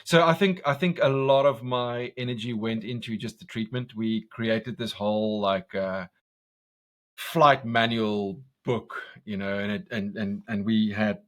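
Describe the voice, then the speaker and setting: A man from South Africa with an Afrikaans accent, a commercial director talking passionately in a podcast interview, loud and full of restarts. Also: on the low side, fairly even intonation, measured pace. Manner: intense, passionate, talks loud, many restarts.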